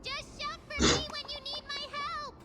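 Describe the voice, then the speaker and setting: A woman's voice: very high-pitched, level tone, measured pace, noisy background. A woman voicing a small, girlish, eager fairy-like creature in a video game, chirpy and self-assured. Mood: plucky, eager. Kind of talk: video game character voice acting.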